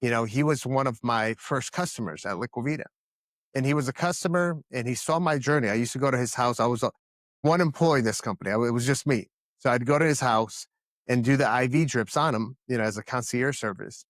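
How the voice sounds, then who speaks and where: A man's voice: mid-range voice, a little fast, no echo, clean studio sound. Earnest man from the Dominican Republic. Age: 30s. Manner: earnest and emphatic, repeats words as he restarts. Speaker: podcast guest, company founder. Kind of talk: casual interview answers.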